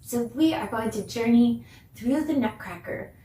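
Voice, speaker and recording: A woman giving an encouraging, deliberate dance lesson for children, demonstrating as she teaches. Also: on the high side, animated, mellow, a little hiss or hum. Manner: mixes English with simple Spanish phrases. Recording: echoey room, distant microphone.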